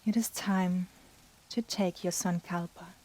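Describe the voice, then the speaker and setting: A woman guiding a yoga nidra meditation in a soft, breathy, soothing manner with long pauses. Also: fairly low pitch, fairly even intonation, poor audio. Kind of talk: guided meditation.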